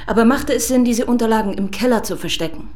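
A woman's voice: fairly high pitch, quick pace, darkish tone, natural voice. An actress playing an authoritative female chief detective in a radio drama, curt and businesslike. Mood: stern, formal.

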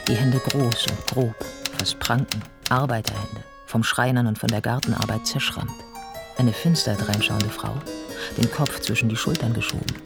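Male narrator, scripted radio play. Deliberate, measured delivery, mid-range voice over a prominent music bed. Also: mid-range voice, fairly even intonation, gravelly.

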